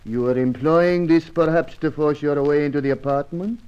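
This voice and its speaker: A man's voice: fairly high pitch, moderate pace, smooth, natural voice. Man playing a formal manservant, dull recording. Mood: cold, suspicious. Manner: formal, stilted, deferential phrasing. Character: devoted, menacingly courteous Brazilian manservant, knife-wielding and proud. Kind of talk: old-time radio adventure acting. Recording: dull, muffled old radio recording.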